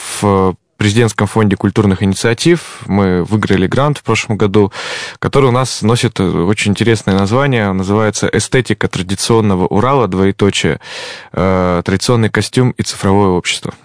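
A man, an ethnologist guest in an interview, explaining at a measured pace with frequent hesitation sounds. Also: lowish voice, no room sound, studio quality.

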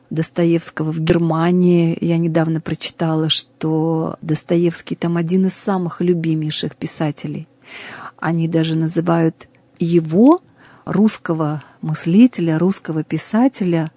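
A woman hosting an interview program, asking measured leading questions. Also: deep voice, mellow, dry recording. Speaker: program host.

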